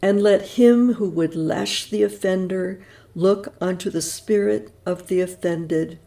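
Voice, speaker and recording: A woman reads prose poetry with deliberate, unhurried solemnity and a gravelly edge to her voice. Her tone is low and mellow. Soft music plays faintly underneath. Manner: measured and reverent, with long pauses. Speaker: audiobook reader. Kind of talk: slow, solemn poetry reading.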